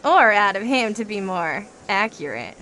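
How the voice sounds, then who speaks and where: A woman's voice: medium pitch, sing-song, unhurried, heavy background noise. An actress playing a knowing, teasingly fatalistic female inmate in an audio drama, with a wry delivery.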